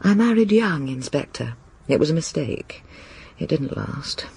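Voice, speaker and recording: A woman's voice: deep voice, very animated, a little slow, creaky, mellow, breathy edge, heavily acted. An actress playing a poised, tart middle-aged female academic in a radio drama, cool and direct. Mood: composed, guarded.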